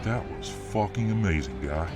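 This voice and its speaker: A man playing a dominant, cocky fireman boyfriend in an ASMR roleplay, a tough, devoted muscleman with a gravelly, swaggering, grunting delivery. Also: low voice, animated, far from the mic, loud music behind the voice. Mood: impressed. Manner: breathy grunting, swaggering, drawn-out exertion. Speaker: audio roleplay performer.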